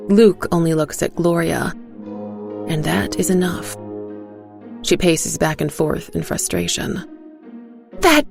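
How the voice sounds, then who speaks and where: Slow, gravelly female storyteller. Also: fairly low pitch, steady tone, soft background music. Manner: slow, hushed, measured storytelling. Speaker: narrator and voice actor. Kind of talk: scripted first-person audiobook narration.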